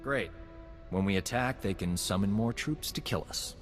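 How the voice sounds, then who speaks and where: A man's voice: lowish voice, normal speed, in a large hall, faint music behind, close to an everyday voice. A man playing a wisecracking, dedicated rebel field doctor in a video game, with a wry, flippant manner. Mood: sardonic. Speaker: Resistance field doctor character. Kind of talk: video game cutscene acting.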